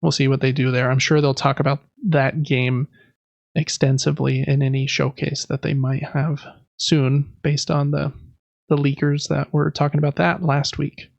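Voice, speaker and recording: A man's voice: fairly high pitch, little pitch movement, normal speed, creaky, no echo, highs cut off. A man hosting a podcast, casually recapping news in a conversational way with explanatory asides. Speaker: podcast host.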